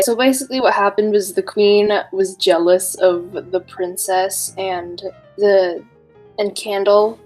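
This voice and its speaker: A woman's voice: mid-range voice, rough recording. A woman reading a fairy tale aloud on a podcast in an informal, giggly way, breaking off for casual asides.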